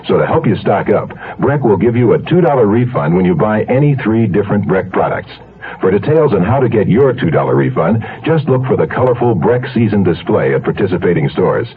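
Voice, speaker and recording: A man reading a scripted advertising pitch as a commercial announcer on the radio. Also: very animated, fairly quick. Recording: muffled old radio broadcast.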